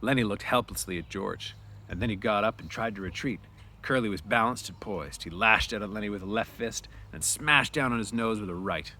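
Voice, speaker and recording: A man's voice: on the low side, brisk, sharp tone, a little hiss or hum. A man narrating a story aloud in an informal way, with casual asides.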